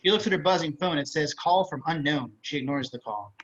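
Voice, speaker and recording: A man's voice: fairly high pitch, animated, brisk, fairly bright tone, slightly rough. A man reading stage directions as scripted narration in a screenplay table read. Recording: slightly dull video-call audio.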